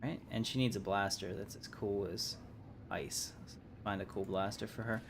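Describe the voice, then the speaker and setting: A man's voice: fairly low pitch, fairly even intonation, normal speed, background noise. A man giving casual live gameplay commentary, musing aloud and hesitating as he thinks through choices. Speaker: game livestreamer, host.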